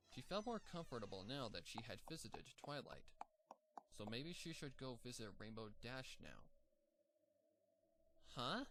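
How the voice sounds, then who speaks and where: A man narrating a fan story aloud at a steady, even storytelling pace. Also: medium pitch, slight background noise.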